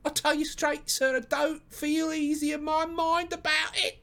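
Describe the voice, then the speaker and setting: A man's voice: very high voice, flat delivery, measured pace, creaky, sharp tone, voice pushed up, exaggerated voice. A man voicing a stout, fretful working-class London wife who talks on and on in colloquial, deferential speech, in a story reading. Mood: uneasy, indignant.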